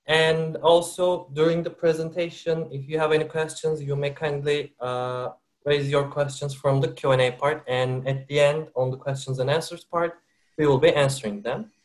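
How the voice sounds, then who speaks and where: A man hosting a corporate webinar, presenting in a measured way with frequent hesitations. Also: a little high, level tone. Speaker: webinar host. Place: works for a company based in Turkey.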